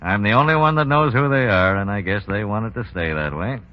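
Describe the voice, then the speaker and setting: An actor playing an easygoing, wary racket boss in a 1930s radio drama. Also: male voice, on the low side, brisk, close to an everyday voice. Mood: wary, matter-of-fact. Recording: muffled, noisy 1930s radio recording.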